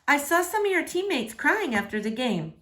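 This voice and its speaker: Lady reading as a mom in an audiobook, caring but firm, bit teasing, pitched up. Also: big pitch swings, moderate pace, pushed and bright, exaggerated voice. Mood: concerned. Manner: chiding, teasing.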